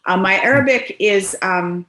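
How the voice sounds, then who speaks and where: A Canadian woman in her sixties, a guest on a video call from her living room, talks in a lively way with frequent laughs and quick asides. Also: mid-range voice, reverberant. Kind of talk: casual conversation. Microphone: webcam on a video call.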